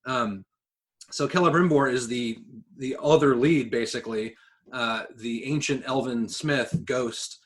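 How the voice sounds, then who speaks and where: A man with a mid-pitched voice co-hosts a casual podcast. He talks informally and with enthusiasm, pitching ideas and tossing in fan asides. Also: a little slow, rough recording. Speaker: podcast co-host.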